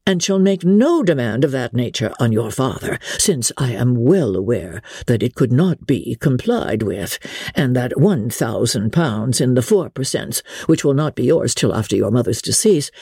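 A pompous, self-satisfied clergyman played by a woman holds forth in a classic novel reading. The delivery is husky and mellow, formal and ponderous, with grand rises and falls of pitch. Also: big pitch swings, moderate pace, no room sound, studio quality, heavily acted. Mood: solemnly effusive. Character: pompous, self-satisfied clergyman suitor, adult man, long-winded.

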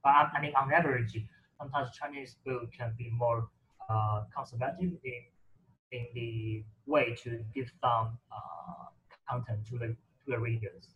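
A man's voice: mid-range voice, fairly even intonation, moderate pace, highs cut off, slight background noise. A man whose first language is Mandarin, talking casually in English with many fillers and restarts. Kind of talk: casual conversation about reading.

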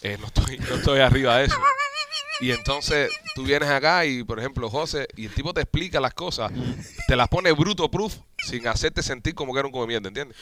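Guy hosting a laid-back chat, fast and jokey, mid voice. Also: medium pitch, sing-song, background noise. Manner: joking, casual slang. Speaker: podcast host. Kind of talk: casual chat.

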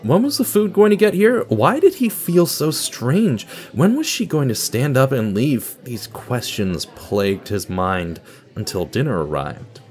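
A man's voice: medium pitch, sing-song, unhurried, a little mellow. A man reading scripted narration for an audio drama, telling a story in a dramatic style.

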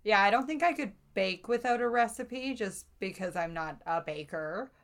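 A woman's voice: mid-range voice, lively intonation, moderate pace. A woman with a slight cold, co-hosting a podcast in casual, playfully teasing chat. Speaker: podcast co-host. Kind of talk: casual chatty podcast banter.

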